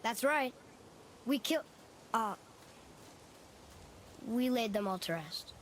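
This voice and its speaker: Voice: medium pitch, animated, takes it slowly, fairly bright tone, a little hiss or hum. An actor playing a young boy in a video game, an earnest, curious son who speaks hesitantly and asks questions. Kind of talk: video game cinematic acting.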